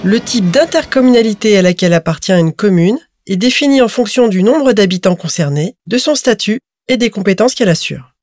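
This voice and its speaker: A woman giving scripted narration for an explainer video, in a clear, measured, informative manner. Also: medium pitch, animated, darkish tone, no echo.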